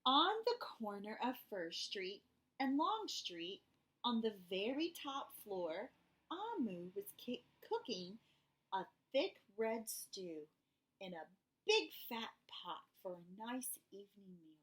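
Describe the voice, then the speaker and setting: Slow, very animated woman storyteller. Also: medium pitch, slightly rough. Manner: dramatic pauses, drawn-out phrases. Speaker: storyteller reading a picture book to children. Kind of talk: expressive children's read-aloud narration.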